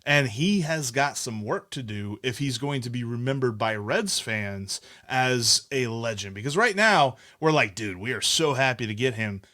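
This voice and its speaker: A man of middle pitch hosts a casual sports podcast. He talks in an opinionated, conversational way, often restarting his sentences. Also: animated, normal speed, hardly any pauses, bright, forceful tone. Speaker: podcast host.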